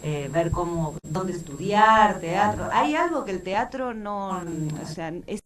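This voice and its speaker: A woman's voice: mid-range voice, lively intonation, unhurried, over a noisy crowd. A woman with an Argentine accent chatting casually as an interview guest, explaining her views and checking for agreement. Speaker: theatre director, interview guest.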